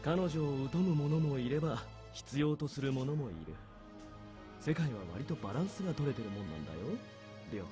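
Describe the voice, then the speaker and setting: A man voicing a calm, calculating military major in a visual novel, speaking with dry, understated authority. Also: mid-range voice, very fast, over music, close to an everyday voice. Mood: businesslike, persuasive. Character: calm, calculating male military major giving covert orders.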